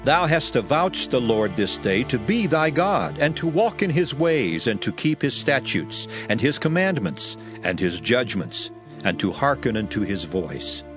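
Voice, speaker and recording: A man's voice: medium pitch, big pitch swings, unhurried, over music. An actor playing a solemn, commanding prophet who addresses his people in archaic, oratorical scripture. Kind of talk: dramatized scripture reading.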